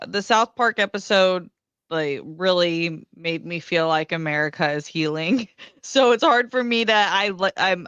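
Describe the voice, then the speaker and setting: A woman co-hosts a casual podcast in a crisp, bright voice. She talks thoughtfully, hesitating as she works through her views. The sound is dry and a bit dull in its top end. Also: fairly low pitch, dry recording. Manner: thoughtful, hesitant, easygoing agreement. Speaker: podcast co-host.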